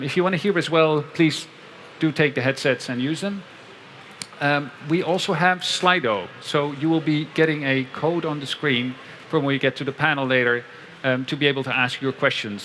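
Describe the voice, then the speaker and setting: A man moderating a panel event at a conference pavilion, speaking into a headset mic in a measured way with frequent fillers. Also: mid-range voice, fairly even intonation, fairly bright tone, slight background noise.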